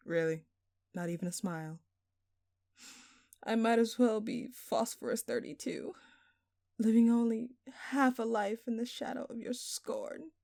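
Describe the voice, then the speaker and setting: Woman acting as a slow, smug, flirtatious thief. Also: fairly high pitch, fairly even intonation, vocal fry, darkish tone, no echo, clean studio sound. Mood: smug, flirty. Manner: teasing, theatrical, playfully sarcastic. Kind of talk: intimate scripted audio roleplay.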